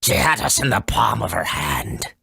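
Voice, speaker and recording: A man voicing a folksy, cautious elder of a furry forest tribe in a video game, with a gravelly, husky voice and dramatic storytelling manner. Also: lowish voice, sing-song, moderate pace, sharp tone, dry recording, full character voice. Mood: wistful storytelling. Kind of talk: video game character acting.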